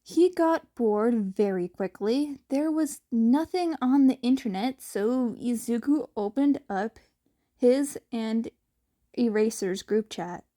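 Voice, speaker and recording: A woman reads a story aloud as narrator. Her voice is fairly high and very lively in pitch, and she takes her time with the text. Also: slow pace. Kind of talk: amateur fan fiction narration.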